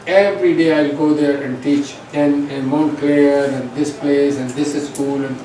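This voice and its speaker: An older man from India with an Indian accent, whose first language is Hindi, telling stories as a yoga teacher in halting, repeated phrases. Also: on the high side. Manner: hesitant, repeats words, short phrases. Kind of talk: reminiscing in a recorded conversation. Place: bookstore event room. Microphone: distant microphone. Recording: roomy echo, distant mic, steady background hiss.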